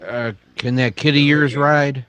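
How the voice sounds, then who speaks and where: A man's voice: medium pitch, fairly even intonation, measured pace, fairly bright tone, lightly acted. A man playing an earnest, principled older townsman in a radio western. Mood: earnest concern. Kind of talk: old-time radio western acting.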